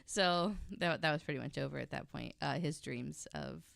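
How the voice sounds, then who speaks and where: A woman's voice: low-pitched, normal speed, vocal fry, a bit bright, no echo. A woman podcast host telling a story in a casual, conversational way, with wry, informal asides.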